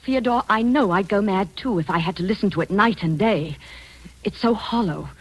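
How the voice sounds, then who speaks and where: A woman's voice: medium pitch, moderate pace, breathy edge, rough recording. An actress playing a tender, anxious lady in an old-time radio drama, theatrical and earnest. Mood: alarmed, insistent. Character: anxious, tender lady who grows fearful and insistent. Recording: vintage radio drama recording.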